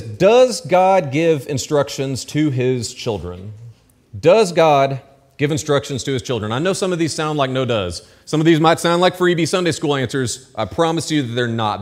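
A man in his thirties, a pastor giving a sermon from a church pulpit. He explains the text in a relaxed, conversational way, with asides and quick checks that the congregation is following. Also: medium pitch. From United States. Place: church stage with pulpit, indoors. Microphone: lectern mic at pulpit.

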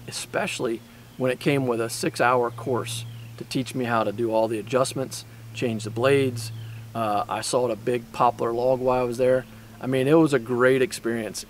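Brief: man, 40s, casual outdoors vlogger, mid-pitched voice, halting conversational delivery, faint outdoor noise behind him. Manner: conversational, many fillers and restarts. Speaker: outdoors channel host. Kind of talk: casual product review talk. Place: outdoors on a grassy farm lot beside a sawmill. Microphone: camera on a tripod, medium framing.

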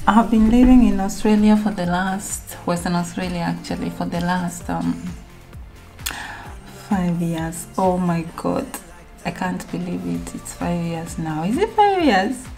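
A woman's voice: fairly low pitch, unhurried, gravelly, a little mellow, faint music behind. A young woman from Kenya talking alone to her camera at home in a reflective, rambling sit-down vlog, full of fillers and restarts. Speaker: vlogger.

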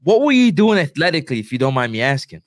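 A man's voice: fairly high pitch, very animated, fast, dry recording. A young man co-hosting a podcast, talking loosely with slang and repeated words, on a studio mic with headphones. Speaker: co-host. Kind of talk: podcast interview.

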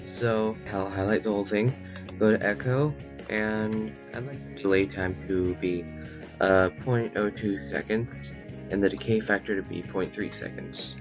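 A man giving a casual software tutorial as its host, in a poor home recording. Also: lowish voice, fairly even intonation, a little slow. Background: plucked guitar music.

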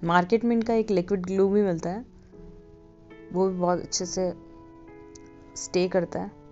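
A woman giving a relaxed, casual voice-over for a nail tutorial, slipping English phrases into her talk. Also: fairly low pitch, moderate pace. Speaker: beauty vlogger. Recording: dull lo-fi voice-over with faint music.

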